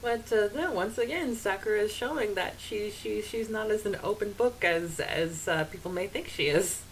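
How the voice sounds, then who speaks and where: A woman co-hosting a podcast, giggly and informal, mixing casual chat with expressive reading aloud. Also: mid-range voice, moderate pace, low-quality recording. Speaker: podcast co-host. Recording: faint background hiss.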